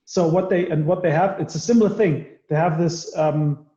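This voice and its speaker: A man hosting a podcast, chatting in an animated way and often repeating words. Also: a little high, normal speed. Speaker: podcast host. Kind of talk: conversational interview. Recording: echoey, muffled room sound.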